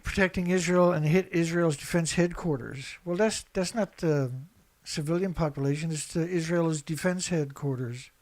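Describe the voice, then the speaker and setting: A man's voice: a little high, normal speed, few pauses, darkish tone. A man giving unscripted, opinionated news commentary as an online host, reading out headlines and reacting in a blunt, emphatic, colloquial way with a lot of repetition.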